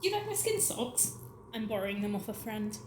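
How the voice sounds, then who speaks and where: A woman's voice: mid-range voice, very animated, fairly quick, a little mellow, slight background noise, close to an everyday voice. A young actress playing a grand, whimsical, wilful young woman in a surreal radio play, with airy theatrical delivery. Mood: breezy. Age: young adult.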